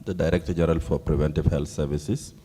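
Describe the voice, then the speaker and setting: Man, from South Sudan, deep, husky, dark tone, roomy conference hall. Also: fairly even intonation, fast. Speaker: health ministry director general. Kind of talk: brief self-introduction at a meeting.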